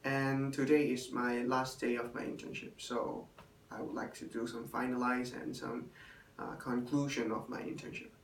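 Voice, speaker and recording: A young man, a hotel intern and native Chinese speaker, giving a halting spoken evaluation in English with many fillers. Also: mid-range voice, little pitch movement. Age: young adult. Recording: roomy, distant, faint room noise.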